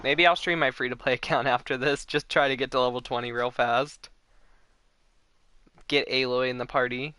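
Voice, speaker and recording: A man's voice: mid-range voice, fairly even intonation, normal speed, sharp tone. A man giving casual livestream commentary as he plays a game, chatting in short asides. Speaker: game streamer.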